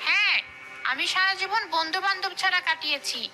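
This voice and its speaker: A woman's voice: very high voice, fairly quick, music in the background. A woman voicing a bitter, jealous, menacing female ghost in a cartoon, complaining and threatening. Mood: aggrieved. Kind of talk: cartoon voice acting.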